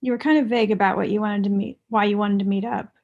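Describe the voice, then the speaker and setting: A woman playing a blunt, resentful young ex-girlfriend with a deadpan, pressing manner, in a comedy table read. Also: mid-range voice, fast talker, lo-fi sound, natural voice. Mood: guarded, dry.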